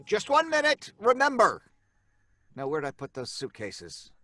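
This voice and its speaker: A stern, exasperated father in a radio drama. His voice is high and lively in pitch. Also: unhurried, no echo, studio quality, full character voice. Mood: harried. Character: George, stern, harried father.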